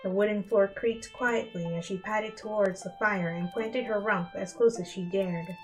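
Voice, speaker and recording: A woman's voice: medium pitch, fairly even intonation, moderate pace. A woman narrating a story as a dramatic reading, with instrumental music behind her. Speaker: fan fiction reader.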